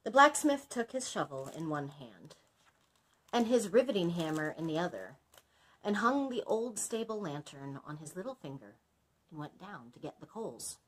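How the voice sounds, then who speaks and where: A woman's voice: on the low side, sing-song, normal speed, breathy edge, rough recording. A woman librarian reading a story aloud expressively, breaking off to explain things in chatty asides.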